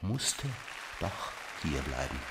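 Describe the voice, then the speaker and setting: Radio drama seducer: ardent, pleading, very slow delivery, noisy crowd behind. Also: male voice, extremely low pitch, sing-song, exaggerated voice. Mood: ardent, halting. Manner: halting, drawn-out, pleading declarations of love. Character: ardent male seducer, a smooth romantic wooer. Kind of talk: literary radio play acting. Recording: loud crowd and ambient noise behind. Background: noisy crowd scene.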